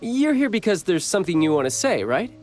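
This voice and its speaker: A man's voice: high-pitched, very animated, brisk, darkish tone, soft background music. A man voicing a cocky, friendly young warrior in a video game, with a teasing, confident manner. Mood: warm, playful. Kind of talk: video game character voice lines.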